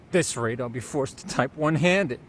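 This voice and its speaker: A man's voice: mid-range voice, moderate pace, slight background noise, natural voice. An actor playing a fussy, analytical male computer whiz in a video game, precise and wordy. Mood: whiny, smug. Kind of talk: English video game voice acting.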